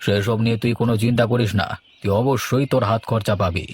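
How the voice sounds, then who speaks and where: A man's voice: mid-range voice, fairly even intonation, fast talker, husky, close to an everyday voice. A man playing a moneyed village employer in a cartoon, questioning and instructing in a condescending way. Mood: cautious, commanding. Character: village boss, cautious moneyed employer giving orders. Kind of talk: Bengali cartoon voice acting.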